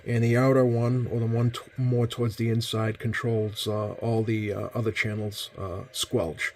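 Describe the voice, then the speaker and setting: A man's voice: lowish voice, little pitch movement, moderate pace. Man, casual hobbyist host, hesitant delivery, rambling explanations, frequent self-corrections. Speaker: host, CB radio collector. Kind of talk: casual product demonstration. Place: indoors at a workbench.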